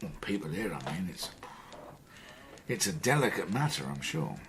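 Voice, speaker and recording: An actor playing a polite, probing Victorian Scotland Yard sergeant in a radio drama, questioning people with tact and care. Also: male voice, fairly low pitch, sing-song, normal speed, vocal fry, fairly bright tone, a little hiss or hum, full character voice. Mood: tactful, careful. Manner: polite, tactful, careful questioning.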